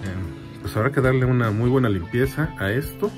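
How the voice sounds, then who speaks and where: A man's voice: mid-range voice, lively intonation, moderate pace, over music. A man hosting a video, explaining in a casual, conversational way as he gives a hands-on demonstration. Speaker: video host and audio gear collector.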